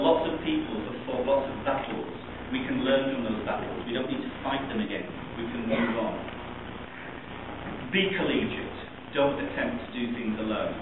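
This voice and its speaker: A man delivering a formal lecture in short, emphatic maxims, speaking deliberately and urging his listeners on. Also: mid-range voice, distant mic. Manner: deliberate, exhortatory. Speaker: conference lecturer. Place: large lecture hall. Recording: muffled, noisy, echoey lecture hall from afar.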